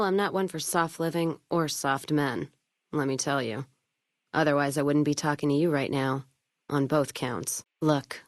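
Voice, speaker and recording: A woman's voice: low voice, dry recording, studio quality, natural voice. A woman playing a tough, foul-mouthed, vengeful caravan trader in a video game, talking in a blunt, folksy, wry way. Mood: flirtatious, wry. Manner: blunt, folksy, wry drawl of plain talk. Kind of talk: video game dialogue acting.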